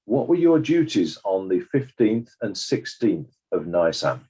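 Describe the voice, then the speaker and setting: A man's voice: lowish voice, sing-song, warm tone, slightly rough, exaggerated voice. A man playing a stern, sceptical coroner who questions witnesses formally in a dramatized inquest. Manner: formal, measured, probing. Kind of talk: scripted courtroom drama.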